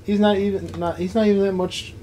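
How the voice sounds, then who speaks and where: A man's voice: high voice, level tone, a little fast, warm tone. Blunt man ranting over background noise. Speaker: co-host of a card game anime review. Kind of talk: casual opinionated discussion.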